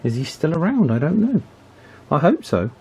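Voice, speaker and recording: A man giving a casual, chatty hands-on repair commentary in an unhurried way, as if thinking aloud. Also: fairly high pitch, sing-song, mellow, husky. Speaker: radio repair hobbyist presenting his work.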